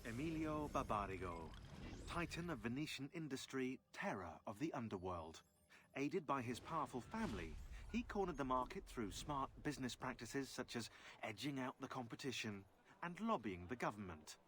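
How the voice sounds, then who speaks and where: A man's voice: medium pitch, big pitch swings, moderate pace, fairly bright tone, a little hiss or hum. A man playing a sardonic narrator, reading a scripted character profile in a video game with dry wit. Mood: wry. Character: wry, sardonic male briefing narrator.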